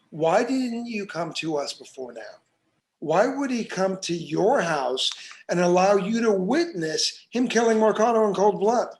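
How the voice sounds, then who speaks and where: A man playing a hard, scornful police detective in a drama table read, accusatory and sarcastic. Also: high voice. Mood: interrogating.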